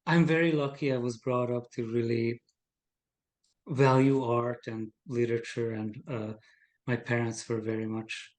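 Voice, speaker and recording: A man's voice: medium pitch, a little mellow. A man, speaking as a podcast guest in a thoughtful, rambling way with many fillers. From Hungary. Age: 40s. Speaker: guest, game designer. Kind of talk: casual podcast conversation.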